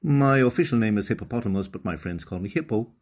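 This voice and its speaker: A man's voice: fairly low pitch, expressive, fast, darkish tone. An Irish man voicing a friendly, helpful hippopotamus in a children's story, in a plain manner. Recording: slightly dull lo-fi recording.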